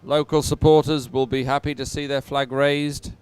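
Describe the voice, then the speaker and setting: A man commentates live on a sporting event. His voice is smooth and clear at an ordinary pitch, recorded dry. He talks informally and haltingly, pausing as he finds his words. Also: level tone, a little mellow, no echo.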